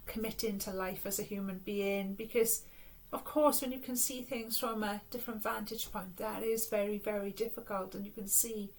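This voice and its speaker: A woman's voice: medium pitch, low-quality recording. Welsh woman giving a spiritual reading. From Wales. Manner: rambling, earnest, reflective with soft laughs. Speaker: spiritual reader. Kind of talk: casual spiritual talk to camera.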